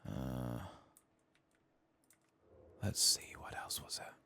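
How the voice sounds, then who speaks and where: A man giving soft-spoken ASMR commentary on a game, sometimes dropping into a whisper. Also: very deep voice, level tone, very slow, vocal fry. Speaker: gaming ASMR streamer. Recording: faint keyboard and clicking noise.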